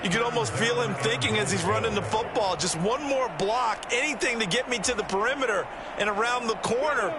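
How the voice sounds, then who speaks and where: A man's voice: high-pitched, fairly quick, very noisy, lightly acted. A man playing an upbeat American ex-player color commentator in a football video game, conversational, folksy and emphatic. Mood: analytical, approving. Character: upbeat former defensive back turned football color analyst.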